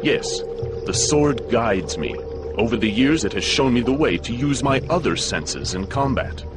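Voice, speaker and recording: A man's voice: medium pitch, lively intonation, a little slow, loud music behind the voice, lightly acted. A male actor playing a grim, proud, vengeful blind swordsman in a fighting game, grave and formal. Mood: somber. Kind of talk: fighting game cutscene acting.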